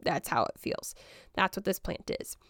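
A young woman hosting a casual podcast about houseplants. She talks in a chatty, rambling way with ums and light laughs, and her voice is still hoarse from the flu. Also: moderate pace, a bit bright, no room sound, clean studio sound. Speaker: podcast host.

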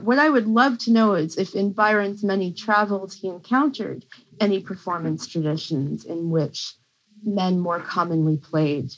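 A woman's voice: lowish voice, rough recording. A woman professor giving a conversational academic explanation as an interview guest, with informal asides.

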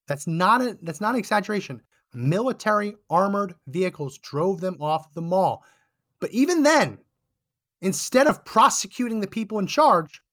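Male podcast host, hoarse and fading voice, high-pitched, emphatic indignant monologue. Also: fairly bright tone. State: hoarse, losing his voice. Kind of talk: political commentary monologue. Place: Texas.

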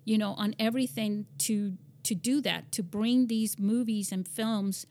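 A woman's voice: medium pitch, unhurried, dark tone. A woman from Spain hosting an interview, rambling with many fillers and restarts. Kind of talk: conversational interview.

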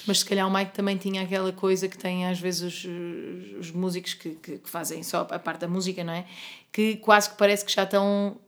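A woman host with a European Portuguese accent, chatting casually and chiming in with quick agreement. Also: fairly low pitch, flat delivery. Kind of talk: casual chat.

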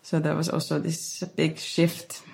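A woman from Finland, a choreographer, answering interview questions in a thoughtful, halting way with many restarts. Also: sits low, monotone, gravelly, darkish tone. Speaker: guest choreographer. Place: Berlin.

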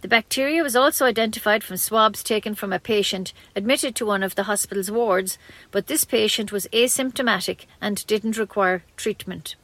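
A woman's voice: medium pitch, moderate pace, fairly bright tone, no echo. An Irish woman reading a scripted local news bulletin for community radio, adding brief personal asides as she goes.

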